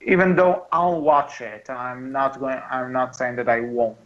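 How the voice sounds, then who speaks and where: A man's voice: mid-range voice, fairly even intonation, fairly bright tone. Guy on a movie podcast, muffled lo-fi audio, opinionated, keeps stumbling and restarting sentences. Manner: halting, often repeats and restarts phrases. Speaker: podcast co-host. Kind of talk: casual movie discussion with friends.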